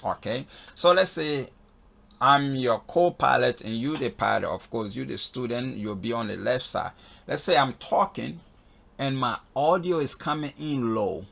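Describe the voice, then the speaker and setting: Male instructor, heavily creaky and husky, casual step-by-step tutorial delivery. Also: mid-range voice, measured pace, a bit bright. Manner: step-by-step, repeatedly checking in with "okay". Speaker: flight instructor in a tutorial video. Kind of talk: casual spoken software walkthrough. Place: voice-over on a screen recording.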